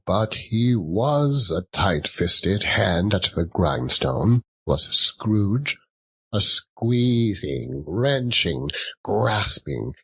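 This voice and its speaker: A man narrating an audiobook in a theatrical, dramatic storytelling style, deliberately lingering over his words. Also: lowish voice, creaky, a bit bright, slightly rough.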